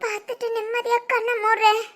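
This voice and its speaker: Woman playing a shrill melodramatic mother faking death. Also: level tone, a little fast, a bit bright. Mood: theatrical pleading. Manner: wailing, theatrical pleading.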